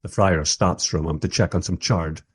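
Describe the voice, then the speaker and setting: A man playing a wry documentary maker, narrating a radio play in the first person with expressive, husky delivery. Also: low-pitched, fairly quick, no room sound, clean studio sound. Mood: hesitant, wry. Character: wry, enthusiastic documentary maker narrating his historical encounters.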